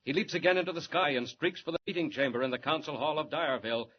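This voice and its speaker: A man's voice: fairly high pitch, fast, close to an everyday voice. A man performing as the theatrical, grave and urgent narrator of a 1940s radio adventure serial. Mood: suspenseful. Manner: theatrical, portentous. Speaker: announcer and narrator. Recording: muffled, noisy 1940s radio recording.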